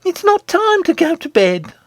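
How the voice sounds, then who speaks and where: Man putting on a shrill, imperious goblin queen. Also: sing-song, unhurried, dry recording, full character voice. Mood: peevish. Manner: haughty, domineering.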